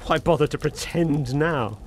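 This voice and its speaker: An actor playing a desperate young lord in a radio suspense drama, drugged, breathless and stammering. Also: male voice, fairly high pitch, a little slow, slight background noise, lightly acted. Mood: accusing.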